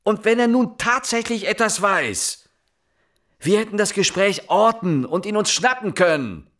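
An actor playing an intense, relentless police inspector in a German radio drama, pressing with insistent, halting questions. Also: male voice, high voice, lively intonation, fairly bright tone. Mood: exasperated, accusing. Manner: insistent, with halting repeated words and pointed questions. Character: male police investigator interrogating, intense, relentless, exasperated.

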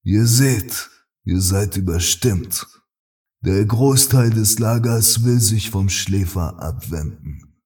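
An actor playing a stern, commanding male cult leader in a fan audio drama, speaking slowly and solemnly with a husky voice. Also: on the low side, darkish tone, distant mic. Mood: stern, calm. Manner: slow, solemn, declamatory.

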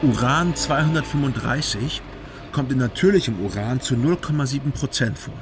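An actor playing a reserved investigator who speaks in a matter-of-fact way during a car ride. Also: male voice, medium pitch, moderate pace, music in the background, close to an everyday voice. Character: reserved, precise male investigator. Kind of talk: radio drama acting. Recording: car noise behind.

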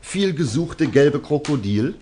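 An actor playing a self-assured male detective in a German radio drama, teasing out his revelations with deliberate, suspenseful storytelling. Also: a little high, very animated, dark tone, a little hiss or hum. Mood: teasing, triumphant.

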